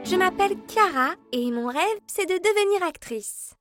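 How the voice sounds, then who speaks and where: A woman's voice: very high-pitched, animated, normal speed. A woman voicing an eager, bubbly young female puppy in a children's cartoon. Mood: encouraging, friendly. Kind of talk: French-dubbed children's cartoon acting. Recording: loud music behind. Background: loud children's cartoon music.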